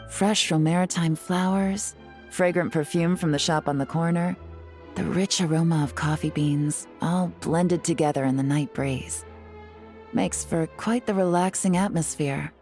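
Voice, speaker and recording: A woman voicing a poised, cool and teasing female agent in a video game, measured, suave and articulate. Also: low voice, fairly even intonation, a little mellow, faint music behind. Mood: relaxed, teasing. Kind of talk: dramatic video game voice acting.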